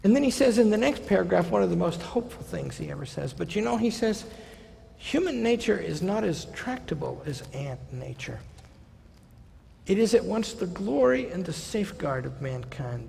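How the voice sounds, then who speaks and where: Gravelly older man lecturing at a podium. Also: sits high, very animated, dark tone, slight background noise. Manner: conversational, wry asides, slows down for quoted passages. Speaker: college president giving a lecture. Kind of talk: seminar lecture. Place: large lecture hall stage at a podium. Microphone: podium microphone. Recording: hall reverb.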